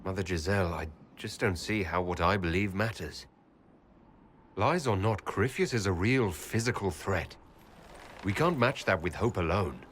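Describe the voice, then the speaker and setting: Low-voiced earnest hero. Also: male voice, normal speed, fairly bright tone, a little hiss or hum. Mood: doubtful, earnest. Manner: direct, questioning, pragmatic. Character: earnest, doubting young male elf hero leader. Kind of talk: dramatic video game dialogue.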